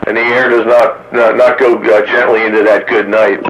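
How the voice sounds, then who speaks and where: An American man chatting casually and haltingly over two-way radio, thinking aloud as he goes. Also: lowish voice, flat delivery, a bit bright. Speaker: radio hobbyist in a group chat. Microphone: two-way radio, recorded from a receiver stream. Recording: lo-fi CB radio with static.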